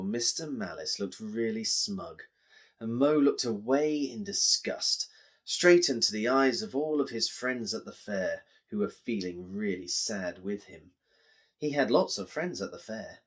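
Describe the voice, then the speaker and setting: Man, English accent, children's storyteller, expressive, explanatory, lively swooping pitch. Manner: expressive, explanatory, addressing listeners directly.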